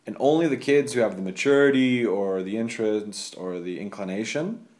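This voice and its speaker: A man's voice: lowish voice, moderate pace, darkish tone. A man hosting a casual conversation, chatty and rambling, asking questions and telling stories. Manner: chatty, rambling, laughs at his own stories. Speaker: podcast host.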